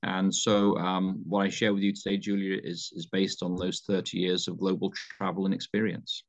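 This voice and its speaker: A British man in his fifties, a pastor answering interview questions in a measured way with frequent ums and restarts. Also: sits low, monotone. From United Kingdom. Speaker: pastor, mission leader.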